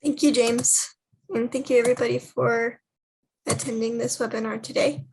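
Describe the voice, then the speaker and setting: A woman moderating a webinar, giving closing announcements in an even manner. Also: a little high, moderate pace, breathy edge, low-quality recording.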